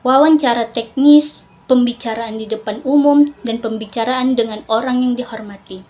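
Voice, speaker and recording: A woman's voice: a little high, fairly even intonation, normal speed, mellow. A young adult woman, a student giving a scripted presentation with explanatory asides. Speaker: university biology student presenting an assignment.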